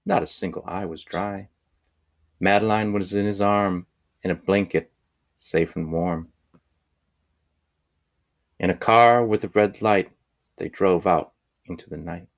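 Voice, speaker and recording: A man reading a children's picture book aloud in measured, deliberate phrases with pauses. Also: sits low, fairly even intonation, gravelly. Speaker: storybook reader.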